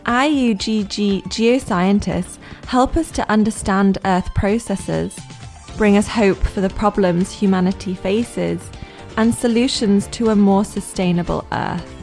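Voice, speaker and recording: Brief: female narrator, scripted voice-over, measured and even, mid pitch, faint music bed.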